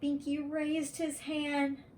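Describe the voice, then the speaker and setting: A woman reading a bedtime story aloud to young children in an expressive, deliberate teacher's manner. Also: sits high, a little hiss or hum.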